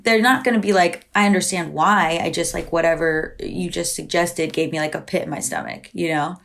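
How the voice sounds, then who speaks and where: A woman's voice: fairly low pitch, brisk, creaky, fairly bright tone. A woman being interviewed, talking candidly and chattily, filling her speech with "like" and acting out quoted back-and-forths with frequent laughs. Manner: chatty, heavy use of "like", acts out quoted exchanges. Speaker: podcast guest.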